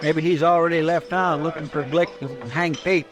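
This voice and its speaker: A loyal frontier sidekick talks in a folksy, ungrammatical American way, his voice pitched a bit high, as a male actor plays him. It is classic old time radio western acting. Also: fairly even intonation, moderate pace, faint music behind, close to an everyday voice. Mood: hopeful, puzzled. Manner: folksy, ungrammatical rural talk.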